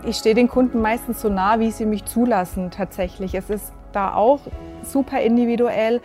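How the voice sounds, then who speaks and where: A woman in her late thirties, a full-time nutrition coach talking about her work in a relaxed interview, with quiet music underneath. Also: a little high, moderate pace. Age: 30s. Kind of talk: recruiting video interview.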